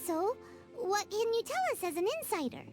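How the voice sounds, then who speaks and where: A woman voicing a tiny, excitable fairy girl companion in a video game, with a high, squeaky childlike voice who talks about herself in the third person. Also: normal speed, music in the background. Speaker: companion character.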